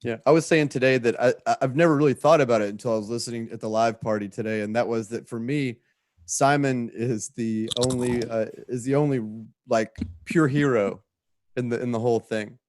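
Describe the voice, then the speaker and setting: A man's voice: mid-range voice, a little fast, darkish tone, no room sound, studio quality. A man chatting casually on a panel, reflective and conversational as he tells his stories. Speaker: show creator, panelist.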